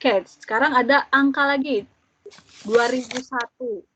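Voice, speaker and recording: A young woman in her twenties teaching, explaining step by step and slowly repeating each example for learners. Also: high voice, a bit bright, a little hiss or hum. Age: 20s. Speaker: German language teacher. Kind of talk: slow, patient classroom explanation. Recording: dull, dry lo-fi audio.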